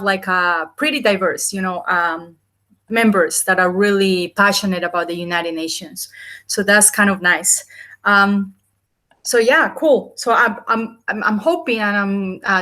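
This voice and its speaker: A woman's voice: mid-range voice, bright, forceful tone. A woman from Colombia with a Colombian Spanish accent, hosting a group video call in an eager, rambling way with many fillers. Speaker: chapter host. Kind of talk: casual video call chat.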